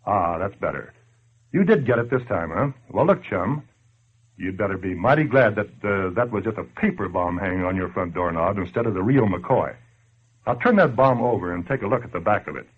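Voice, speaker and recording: A man's voice: on the low side, breathy edge. An American man acting as a brash, wisecracking 1940s radio narrator who badgers the listener in sardonic slang. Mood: sardonic needling. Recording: tinny, band-limited old radio broadcast.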